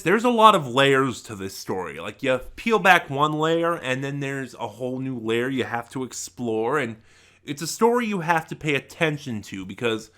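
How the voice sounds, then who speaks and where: A man giving a casual, unscripted review to viewers, halting and rambling as he often trails off mid-thought. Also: medium pitch, bright, forceful tone. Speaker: YouTube reviewer.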